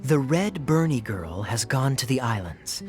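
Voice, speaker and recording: A suave young knight captain, speaks with playful, knowing mockery. His voice moves lively up and down as he needles his companions. Faint music sits beneath the game dialogue. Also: mid-range voice, measured pace. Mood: teasing. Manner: teasing, wry and playful. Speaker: game character.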